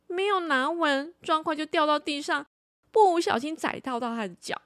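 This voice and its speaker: High-voiced woman telling children's stories. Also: expressive, moderate pace, a bit bright, no room sound, clean studio sound. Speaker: children's story podcast host. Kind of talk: animated storytelling for children.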